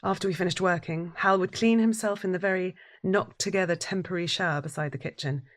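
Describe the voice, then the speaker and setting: An actress playing a troubled, withdrawn wife who has lost her hearing, narrating an audio drama in a breathy, deliberate, introspective way. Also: on the low side, natural voice. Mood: withdrawn, reflective. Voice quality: breathy, husky.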